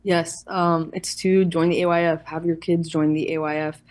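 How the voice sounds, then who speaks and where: A woman's voice: sits low, flat delivery, moderate pace, darkish tone. A woman answering interview questions as an organization's representative in an earnest, explanatory, formal way. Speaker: youth organization chairperson, interview guest.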